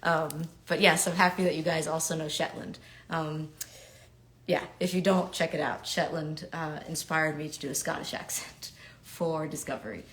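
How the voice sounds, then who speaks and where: A slightly low-voiced woman from New York in her thirties talks casually to fans while signing autographs. She is chatty and upbeat, trailing into quiet murmurs as she writes. She speaks into a webcam mic indoors at home. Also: steady tone, normal speed. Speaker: actor signing autographs on a livestream.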